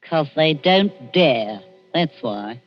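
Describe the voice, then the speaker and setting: A woman's voice: sits low, lively intonation, drawn-out words, faint music behind, full character voice. An actress playing a sly old underworld woman, wheedling and mock-genteel with menace underneath, in a radio horror drama. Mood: coolly menacing. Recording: dull lo-fi radio recording.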